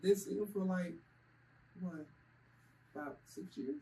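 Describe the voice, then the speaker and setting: A man from Kentucky, a keyboard-playing musician, telling animated stories in a casual interview. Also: sits high, a little slow, distant mic, a little hiss or hum, rough recording. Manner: animated storyteller, lively quoted voices.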